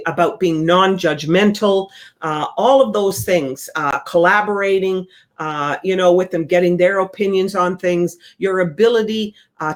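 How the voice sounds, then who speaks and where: A sales trainer talks listeners through client skills in an easygoing, conversational way. The delivery is coaching and unhurried, circling back to the main points again and again. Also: mid-range voice. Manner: relaxed, coaching, repeats key points. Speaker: real estate sales trainer.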